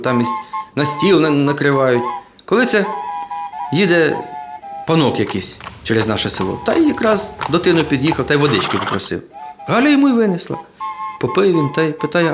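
A man telling a folk tale in a colloquial, folksy way with playful asides. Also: a little high. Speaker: storyteller. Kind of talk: oral folk tale storytelling. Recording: guitar music behind the voice. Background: plucked guitar music.

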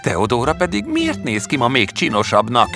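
A man's voice: mid-range voice, wildly swooping pitch, creaky, pitched above the performer's own voice. A man voicing a hesitant, jealous suitor in a fairy tale, brooding and wounded. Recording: music under the voice.

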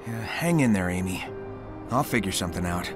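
Cocky, kind cartoon hedgehog, young game hero, animated delivery, loud music behind. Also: male voice, sits high, normal speed. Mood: reassuring. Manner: casual, confident, breezy. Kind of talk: video game cutscene acting.

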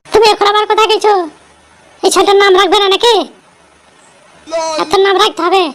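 A woman's voice: extremely high pitch, steady tone, brisk, fairly bright tone. A woman voicing a quarrelsome, abusive village wife and mother in an amateur comic cartoon dub. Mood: scolding. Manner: scolding, abusive.

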